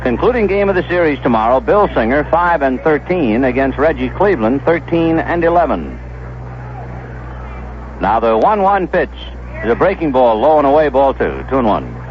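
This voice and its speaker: An American man calling baseball play-by-play on the radio, conversational and descriptive, filling in stories between pitches. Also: sing-song, moderate pace. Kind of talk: play-by-play commentary. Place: ballpark broadcast booth. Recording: dull, noisy vintage 1970s radio broadcast.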